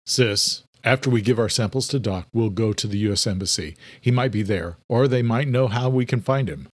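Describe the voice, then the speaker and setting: A man as blunt, flat-toned protective brother. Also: mid-range voice, normal speed, dry recording, lightly acted. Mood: resolute.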